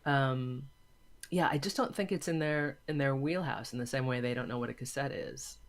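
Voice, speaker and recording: A woman's voice: extremely low pitch, normal speed. A woman chatting casually as an interview guest, enthusiastic and emphatic, often repeating words for stress. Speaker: interview guest, audio drama maker.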